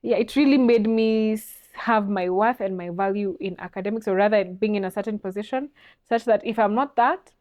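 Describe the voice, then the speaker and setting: A woman's voice: mid-range voice, a little fast, a little mellow. A young adult woman, co-hosting a podcast in a reflective, earnest casual chat. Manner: reflective, earnest, laughs easily. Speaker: podcast co-host.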